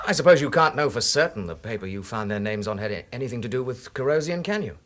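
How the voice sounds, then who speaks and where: An actor playing a young, gently wry detective sergeant in a radio drama, with a British accent and a deferential, matter-of-fact manner. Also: male voice, mid-range voice, animated, fast talker, lightly acted. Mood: dutiful, reporting.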